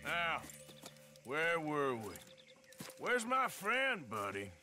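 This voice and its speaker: A sardonic American gunslinger in a western game, unhurried and drawling. His delivery swings widely between dry mutter and threat, over loud music. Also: on the high side, huge pitch swings, exaggerated voice. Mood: menacing. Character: gruff, sardonic male Old West outlaw gunslinger. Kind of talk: video game cutscene acting.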